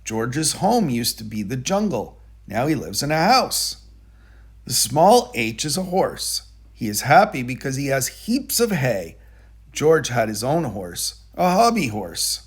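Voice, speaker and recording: Male children's book reader, expressive and animated, unhurried pace, slightly husky tone. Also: medium pitch. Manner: deliberate, expressive storytelling for young children. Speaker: storybook reader. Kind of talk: children's picture book read-aloud.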